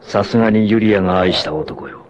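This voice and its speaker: A man playing a cocky, free-spirited, fearless martial-arts warrior in a dramatic 1980s anime, with theatrical, rough swagger. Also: lowish voice, a little fast, dull audio, heavy background noise, close to an everyday voice. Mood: solemn, resolved.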